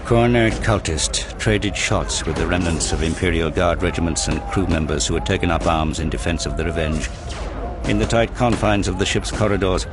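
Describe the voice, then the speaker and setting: Very deep-voiced male dramatic narrator. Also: noisy background. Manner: intense, grave, literary delivery. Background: prominent music and sound effects.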